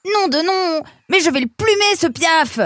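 Woman doing young boy fox in a kids audio story, high voice, whiny and worked up, dry recording. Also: lively intonation, measured pace, slightly rough, no room sound, heavily acted. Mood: exasperated. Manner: whiny, exclamatory, hesitant when confused. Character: flustered, indignant young male fox hero.

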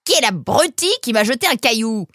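A woman's voice: fairly low pitch, big pitch swings, unhurried, dry recording, studio quality, heavily acted. A woman voicing a small, wiry male fox, a threatening bully who barks slangy orders in a children's audio story. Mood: angry, threatening.

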